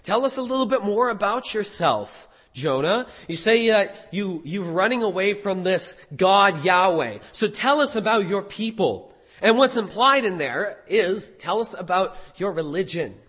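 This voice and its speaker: A hoarse man preaching a sermon as pastor, animated, with rhetorical questions. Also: sits high, a little slow, in a large hall.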